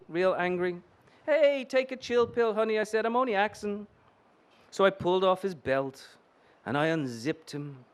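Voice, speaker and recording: A man voicing a brash, wisecracking thirty-eight-year-old woman working the streets. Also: natural voice. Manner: sassy, wisecracking, lifts into a higher voice for her lines.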